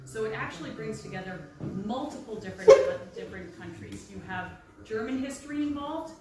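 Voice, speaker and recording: A woman's voice: on the high side, expressive, fairly quick. Chatty woman lecturing in an echoey library. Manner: friendly, chatty presenter addressing the audience directly. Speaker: maritime archaeologist giving a public talk. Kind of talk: public lecture with slides. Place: library room with bookshelves, indoors. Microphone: handheld microphone. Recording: very echoey room, voice from a distance.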